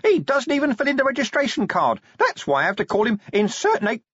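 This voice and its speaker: A man voicing a small male imp living in a gadget, eager and pleading, with fast salesman-like chatter. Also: very high voice, wildly swooping pitch, fairly bright tone, breathy edge, no echo, pitched above the performer's own voice, exaggerated voice. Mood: indignant. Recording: dry, slightly dull recording.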